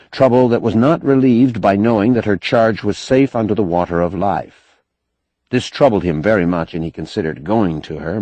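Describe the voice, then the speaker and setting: Deep-voiced male audiobook narrator, very lively intonation. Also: no echo. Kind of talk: scripted narration of a science fiction novel.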